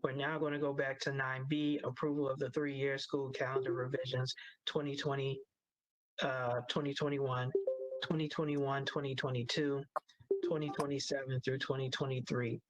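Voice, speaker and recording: A man chairing a board meeting, reading motions and calling for votes in a halting, procedural way. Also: mid-range voice, level tone, poor audio.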